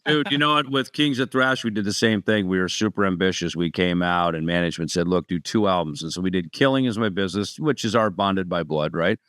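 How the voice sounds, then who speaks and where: A man's voice: sits low, a little fast, hardly any pauses, fairly bright tone, smooth, no room sound. A man hosting a podcast, telling stories in a friendly, chatty interview. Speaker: podcast host. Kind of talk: casual interview chat.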